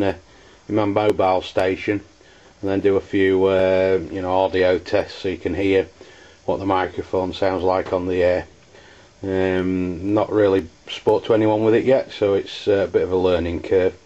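An English man demonstrating hobby gear in a halting, hesitant way. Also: deep voice, flat delivery, warm tone, lo-fi sound. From United Kingdom. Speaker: CB radio enthusiast reviewing gear.

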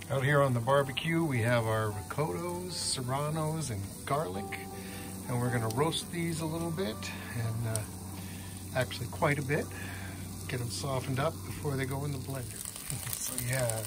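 An older man hosting a home cooking show, explaining each step in a relaxed way with frequent pauses, in a kitchen and then out at a backyard grill. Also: medium pitch, low-quality recording. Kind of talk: casual cooking demonstration. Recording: music playing underneath.

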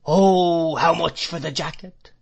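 A man's voice: fairly high pitch, creaky, voice pushed up, exaggerated voice. A man voicing a fierce old drunken shopkeeper from a storybook, with a rattling throat and a sing-song whine. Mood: agitated whining. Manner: monotonous sing-song whine, rising then falling, drawn-out exclamations.

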